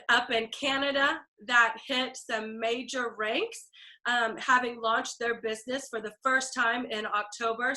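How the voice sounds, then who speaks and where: A woman hosting a video call for her team, upbeat and chatty, with a stuffy, scratchy throat and frequent throat clearing. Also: on the high side, pushed and bright. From Texas.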